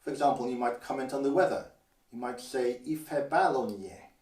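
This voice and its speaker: Older man teaching slowly in an echoey room. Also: medium pitch, darkish tone. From Guernsey. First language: Guernsey French. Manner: slow, careful, deliberate pronunciation. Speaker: language teacher. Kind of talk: scripted language lesson. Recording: roomy echo, distant mic.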